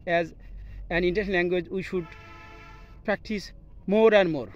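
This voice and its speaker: A man from Bangladesh, a native Bengali speaker with a Bangladeshi accent, giving an instructional vlog outdoors in halting, simple English. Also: high voice, steady tone, dull audio, faint music behind. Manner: halting, simple learner's English with short broken phrases. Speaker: English teacher and video host. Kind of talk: instructional vlog, talking to camera. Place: outdoors, open scenic spot. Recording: outdoor noise, faint birds calling.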